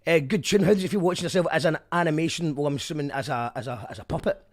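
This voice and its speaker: A man hosts a relaxed radio interview, his voice sitting a little higher than most men's. He talks fast and chattily, reading listener messages between questions. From Scotland. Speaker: radio host. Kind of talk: casual interview chat.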